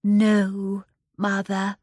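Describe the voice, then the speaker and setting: A woman voicing a big, meek, frightened grown daughter in a storybook, speaking haltingly. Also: mid-range voice, monotone, no echo, clean studio sound. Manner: timid, halting, hushed.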